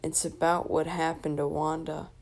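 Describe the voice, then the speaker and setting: A woman's voice: deep voice, little pitch movement, normal speed, a little mellow. A woman voicing a level-headed teenage boy leader, matter-of-fact, in a storybook.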